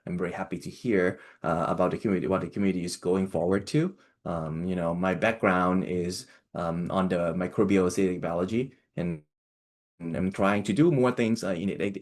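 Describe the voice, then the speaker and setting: Young adult man from Thailand, low voice, halting seminar delivery full of fillers. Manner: halting, many fillers and restarts. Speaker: postdoctoral researcher giving a talk. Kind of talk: online seminar talk.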